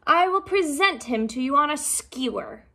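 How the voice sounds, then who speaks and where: A woman's voice: high-pitched, lively intonation, moderate pace, bright, forceful tone, voice pushed up, exaggerated voice. A woman voicing a fierce male swordfish in a storybook, crying out boasts. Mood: boastful. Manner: crying out, bragging.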